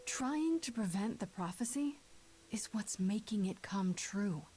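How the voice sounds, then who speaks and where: A woman's voice: medium pitch, lively intonation, unhurried, warm tone, natural voice. An actress voicing a spirited, earnest young woman in a video game, with a direct conversational manner. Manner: direct, conversational, slightly sardonic.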